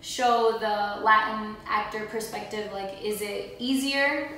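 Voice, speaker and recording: A woman's voice: medium pitch, steady tone, takes it slowly, bright, forceful tone, a little hiss or hum. A young woman hosting a casual podcast chat on a living room couch, talking loosely with lots of fillers. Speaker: podcast host. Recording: echoey room, far from mic.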